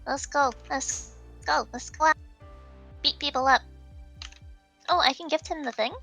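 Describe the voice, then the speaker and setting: A woman's voice: sits high, a little slow, creaky, bright, forceful tone. A woman chatting casually as she runs a livestream. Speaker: live streamer. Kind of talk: casual stream chat. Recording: music playing behind the voice. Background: music.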